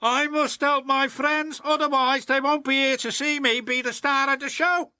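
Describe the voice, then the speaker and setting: James, a man doing a vain high squeaky engine. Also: steady tone, moderate pace, sharp tone, no echo, studio quality, heavily acted. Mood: eager. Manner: haughty, self-important, squeaky. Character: vain, proud young male steam engine. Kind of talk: storybook reading.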